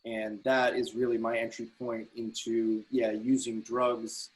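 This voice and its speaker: A man giving a halting, measured answer as a playwright in a discussion over a video call. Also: mid-range voice, flat delivery, low-quality recording. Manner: hesitant, measured.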